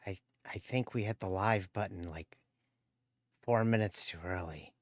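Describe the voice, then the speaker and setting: A man's voice: low-pitched, measured pace, dry recording, clean studio sound. A man hosting a sim racing live stream, chatting casually and rambling, tripping over words and joking at himself. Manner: rambling, self-deprecating, trips over words.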